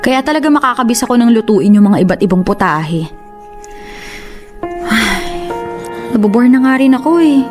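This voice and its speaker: Woman acting young filipino housewife in a radio drama, high voice, frustrated and earnest, music underneath. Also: lively intonation, moderate pace, pauses often, a little mellow, natural voice. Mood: earnest, wistful. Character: frustrated young housewife longing to work and be independent.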